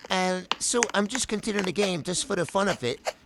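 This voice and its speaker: A high-pitched speaker talks over gameplay in a loose, rambling way, padding every thought with "like" and "um." The pitch swings up and down with a lively, animated melody. A steady layer of hiss sits under the voice. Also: brisk, gravelly. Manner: rambling, full of "like" and "um" fillers. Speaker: gameplay commentator. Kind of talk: casual voice-over commentary on gameplay.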